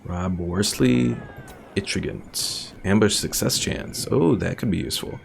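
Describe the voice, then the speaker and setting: A man's voice: fairly low pitch, measured pace, creaky, slight background noise. A man streaming a strategy game, thinking aloud and reading out names from his chat. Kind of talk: casual gameplay commentary.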